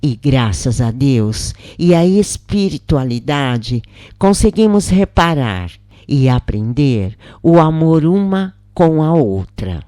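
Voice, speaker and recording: A woman reading a channeled spiritual story aloud in a calm, measured, reverent first-person voice. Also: sits low, no echo, clean studio sound. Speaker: reader of channeled spirit messages.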